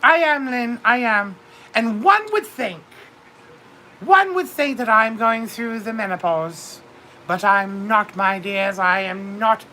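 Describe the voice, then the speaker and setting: A woman's voice: a little high, expressive, takes it slowly, bright, forceful tone, slight background noise, heavily acted, poor audio. A woman voicing a vain widowed duchess in her fifties with a British accent. She chats theatrically with her viewers and keeps calling everyone darling. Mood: grand. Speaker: livestream host. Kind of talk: in-character livestream chat.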